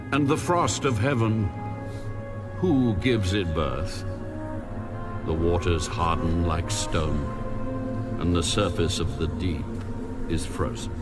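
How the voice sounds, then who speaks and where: A man narrating scripture in a solemn, dramatic style with measured, deliberate delivery. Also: fairly low pitch. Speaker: audio Bible narrator. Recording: voice under loud music. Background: loud background music.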